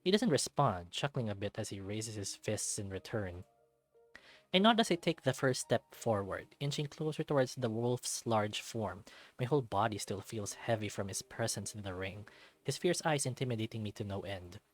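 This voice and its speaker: Low-voiced man reading a story aloud. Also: animated, normal speed, faint music behind. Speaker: let's play narrator reading a visual novel aloud. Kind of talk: expressive read-aloud with casual asides.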